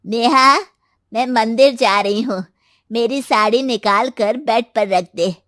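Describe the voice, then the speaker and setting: A woman's voice: a little high, takes it slowly, fairly bright tone, dry recording. A bossy middle-aged mother-in-law as a woman storyteller plays her, grumbling and demanding. Her words are drawn out and commanding, in a dry, close recording for a family storybook.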